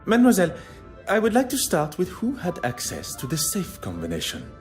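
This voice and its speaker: A theatrical Belgian detective with a French accent and a very lively, swooping delivery. He is formal, polite and precise, always probing, as in a mystery game. Soft music plays behind him. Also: high voice, fairly quick. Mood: courteous. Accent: French-speaking Belgian. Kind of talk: video game voice acting.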